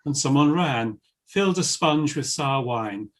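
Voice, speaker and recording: A man narrating scripture in a solemn, measured and formal reading style. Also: medium pitch, animated.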